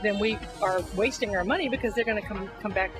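A woman calmly explaining what third culture kids go through, in an interview for a ministry video. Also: medium pitch, fast talker. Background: music.

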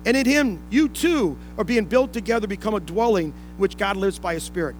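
A man's voice: sits high, expressive, a little fast, faint music behind, rough recording. A man preaching a sermon to a congregation, explaining point by point with rhetorical questions and repeating key phrases for emphasis. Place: indoor room, speaking to a congregation.

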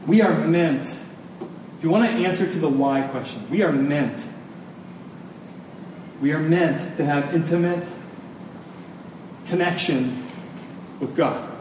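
A man with a fairly high voice delivers an earnest sermon, leaning on key phrases and pausing deliberately. He is recorded at a distance in a very reverberant room, with faint noise underneath. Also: a little mellow. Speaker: preacher. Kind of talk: sermon to a congregation.

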